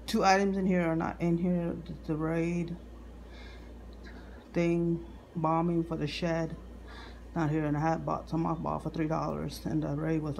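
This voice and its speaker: Woman, grocery haul vlogger, slow deliberate talk, lists items with restarts, home kitchen, handheld phone, noisy room hum. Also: deep voice, creaky, a little mellow. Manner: halting, restarts on numbers, lists items one by one. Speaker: couponing vlogger. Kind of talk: casual grocery haul show-and-tell. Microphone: handheld phone camera filming the table.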